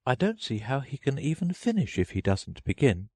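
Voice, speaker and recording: A man voicing a polite, curious little girl from a storybook, prim and quick to take offence. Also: medium pitch, big pitch swings, darkish tone, dry recording, pitched above the performer's own voice. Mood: politely puzzled. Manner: prim, well-mannered. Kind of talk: storybook character reading.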